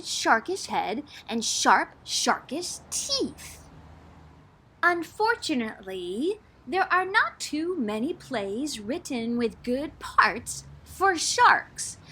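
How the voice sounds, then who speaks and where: A woman's voice: high-pitched, pushed and bright, slight background noise. A woman reading a storybook aloud as a drama teacher, with theatrical, dramatic emphasis. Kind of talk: expressive storybook read-aloud.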